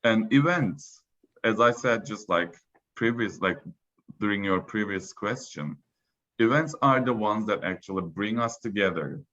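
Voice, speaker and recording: A man's voice: on the low side, lively intonation, measured pace, fairly bright tone. A man from Turkey, a native Turkish speaker, chatting on a video call panel with many "like" fillers. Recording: dull lo-fi call audio.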